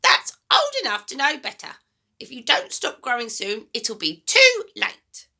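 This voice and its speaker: Shrill, bossy old grandmother. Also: wildly swooping pitch, unhurried, bright, forceful tone, full character voice. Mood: scornful. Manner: scolding, snapping, complaining.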